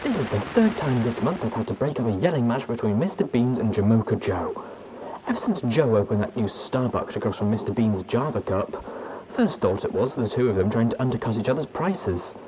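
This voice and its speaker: A man's voice: medium pitch, expressive, fairly quick, noisy background, natural voice. A man voicing a weary, grumbling, folksy small-town lawman pony recording an audio log in a story. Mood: weary, exasperated. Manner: folksy, exasperated.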